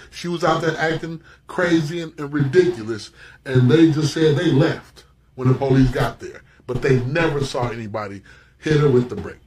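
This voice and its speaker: A man's voice: a little high, echoey room, distant mic. A man ranting emphatically to his followers in a recorded social media video.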